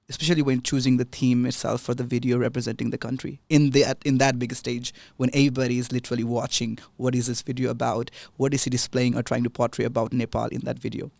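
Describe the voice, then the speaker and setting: Gravelly man hosting a podcast. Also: mid-range voice, little pitch movement, fairly quick, darkish tone, dry recording. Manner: teasing, chatty, with many fillers. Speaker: podcast host. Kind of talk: casual interview chat mixing languages.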